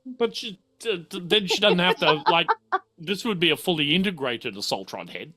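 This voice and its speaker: A man running a tabletop game as game master, casual and easygoing, talking in halting bursts. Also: fairly high pitch, lively intonation, pushed and bright. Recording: faint music behind. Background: faint music.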